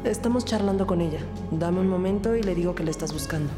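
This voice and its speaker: A woman's voice: on the low side, fast talker, over music, lightly acted. An actress playing a composed police detective who narrates her case in a scripted crime audio drama. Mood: composed, serious.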